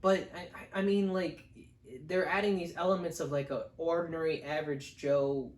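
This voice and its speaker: Man, fairly high voice, casual film-review chat, blunt and halting, roomy echo. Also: level tone. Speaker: co-host of a movie review show. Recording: echoey room.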